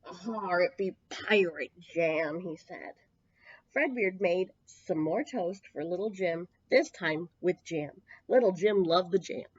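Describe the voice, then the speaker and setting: A woman's voice: fairly low pitch, animated, takes it slowly, a little mellow, breathy edge, dry recording. Woman reading a storybook, dry muffled recording. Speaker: children's storyteller at a public library. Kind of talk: expressive picture-book read-aloud for young children. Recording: dull lo-fi, close dry sound.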